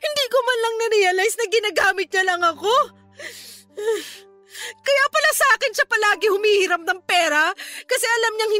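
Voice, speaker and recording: A distraught Filipino older sister in a radio drama. Her voice is very high, tearful and pleading, heavy with regret, over soft music. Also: dry recording. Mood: bitter, tearful.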